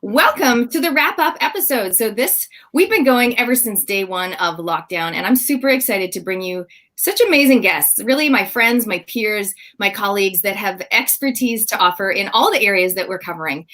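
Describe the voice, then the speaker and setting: A woman's voice: mid-range voice, animated, normal speed, bright, forceful tone. A woman with a Canadian accent hosting a livestream panel over a video call, introducing guests with enthusiasm. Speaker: livestream host.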